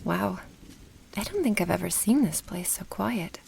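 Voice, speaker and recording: A woman playing an earnest, protective young mage girl in a fantasy ASMR roleplay, with a soft, breathy voice. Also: mid-range voice, expressive, measured pace, a little hiss or hum. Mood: friendly, gentle.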